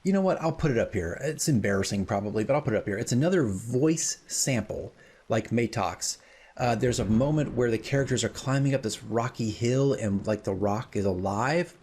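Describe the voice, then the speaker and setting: A man hosting casual, rambling commentary as a dungeon master, informal and self-deprecating, with a husky voice. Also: on the low side, darkish tone, a little hiss or hum. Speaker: host, dungeon master, radio drama creator.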